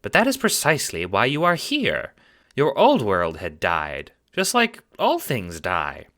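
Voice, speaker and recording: A man's voice: on the low side, wildly swooping pitch, a little slow, fairly bright tone, voice pushed up, heavily acted. A man voicing a kindly, playful elderly grandmother spirit with sweet, folksy, theatrical delivery in an audio drama. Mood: gentle, grave. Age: older. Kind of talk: audio drama character acting.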